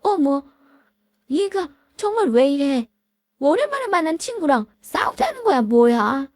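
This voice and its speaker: Woman putting on a shrill sneery bully girl voice, high and swinging all over, dry sound. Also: big pitch swings, a little slow, darkish tone, no echo. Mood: sneering. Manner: sneering, scornful, taunting. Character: spiteful woman, arrogant former school bully.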